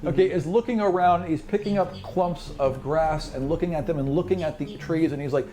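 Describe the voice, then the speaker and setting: A man's voice: a little high, a little fast. A man acting as game master, narrating a fantasy tabletop game with descriptive storytelling and casual table talk.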